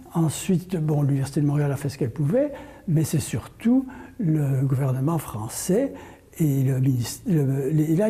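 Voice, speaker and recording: An older man answering interview questions with deliberate, detailed explanations, often rephrasing as he goes. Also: fairly high pitch, dark tone. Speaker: interview guest, language course creator.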